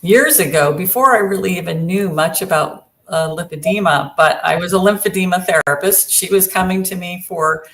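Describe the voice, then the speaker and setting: A woman with a lowish voice talks as a therapist on a casual online panel. She is measured and steady, and often murmurs agreement while others speak. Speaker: lymphedema therapist and co-host.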